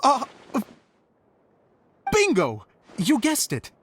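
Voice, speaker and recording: A man's voice: very high voice, huge pitch swings, drawn-out words, noisy background, exaggerated voice. A man playing a sentimental, theatrical show director in a game cutscene, speaking earnestly and expressively. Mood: playful. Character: theatrical, sentimental grown male show director, grateful dreamer. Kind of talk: animated game cutscene acting.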